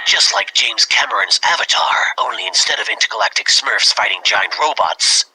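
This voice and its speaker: A man's voice: on the low side, animated, moderate pace, pushed and bright, slight background noise, rough recording. A man voicing an arrogant, irritable young duelist in a parody anime dub, with sneering, theatrical emphasis.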